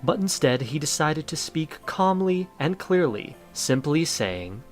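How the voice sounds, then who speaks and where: A man's voice: fairly high pitch, very animated, a little slow, soft background music. A man narrating a scripted audio drama in storybook fashion.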